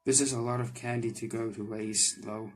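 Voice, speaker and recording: A man's voice: fairly low pitch, fairly even intonation, moderate pace, natural voice. A man voicing a flustered, kind-hearted young male magician from a game, hesitant and apologetic, with music behind. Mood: dejected, then determined. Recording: background music under the voice.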